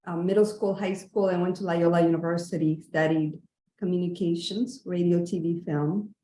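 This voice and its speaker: A woman's voice: lowish voice, fairly even intonation, normal speed, warm tone, a little hiss or hum. Woman from Cuba in an echoey room. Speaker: former television news correspondent. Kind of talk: casual self-introduction.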